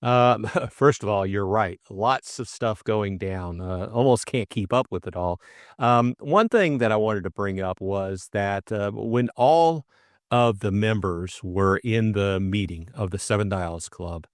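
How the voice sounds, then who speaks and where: A man's voice: lowish voice, measured pace, no room sound, studio quality. A middle-aged man co-hosting an audiobook, narrating and chatting informally about the book in a hesitant way. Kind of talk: narration and casual book discussion.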